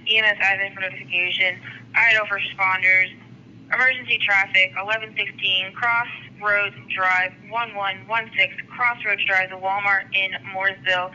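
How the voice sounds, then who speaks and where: A man's voice: high-pitched, bright, forceful tone. A man working as an emergency dispatcher, making procedural announcements over the radio and repeating details. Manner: procedural, repeats addresses and instructions. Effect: radio transmission. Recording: dull lo-fi two-way radio.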